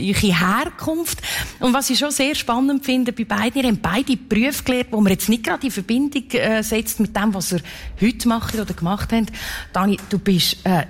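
A woman speaking Swiss German, hosting an interview with frequent laughs and short fillers. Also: fairly high pitch. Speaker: host, interviewer.

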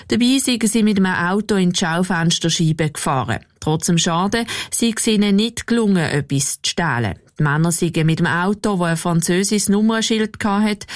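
A woman from the area, reading local radio news in a steady, even way. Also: fairly low pitch, no echo, studio quality. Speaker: newsreader.

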